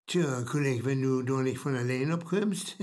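An actor playing a mocking, blunt buddy in a conversational radio comedy, with a Northern German accent. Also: male voice, medium pitch, a little slow, darkish tone, close to an everyday voice. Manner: teasing, blunt. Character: mocking, needling male buddy who teases his earnest friend.

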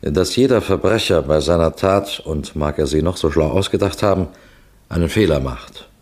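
A low-pitched male police detective, seasoned and assured. He addresses his listeners formally and methodically, like a lecturer in a 1960s German radio play. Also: normal speed, a little mellow. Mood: assured, lecturing.

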